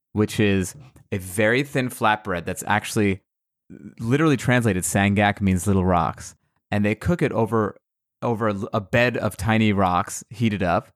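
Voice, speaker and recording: An American man chatting casually as a podcast guest, telling animated, rambling stories. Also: fairly low pitch, dry recording, clean studio sound. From United States. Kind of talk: casual chat.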